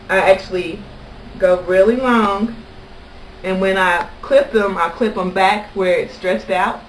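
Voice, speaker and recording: A woman's voice: on the low side, slight background noise, rough recording. A woman from Kentucky with an American accent, chatting casually and anecdotally to camera as a vlogger. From Kentucky, United States.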